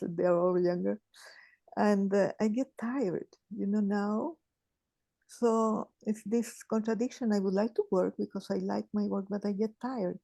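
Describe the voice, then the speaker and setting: A woman, sharing in a group call in a halting, thoughtful way with many restarts. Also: mid-range voice, dark tone, no echo. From Chile. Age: 80s. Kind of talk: casual sharing on a video call.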